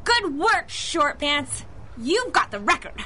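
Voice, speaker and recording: Very high-voiced, bossy street boy. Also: big pitch swings, slow, pushed and bright, a little hiss or hum, full character voice. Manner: brash, self-important, clipped. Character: plucky, bossy street-kid boy leading young recruits. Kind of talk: 1930s-style radio drama acting.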